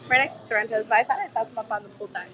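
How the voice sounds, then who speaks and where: A woman travel vlogger with a cold, her voice a bit hoarse, chatting in a bubbly, gushing way to her live viewers from a bar. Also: on the high side, racing, background noise. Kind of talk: casual live-stream chat with viewers. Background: music playing in the bar.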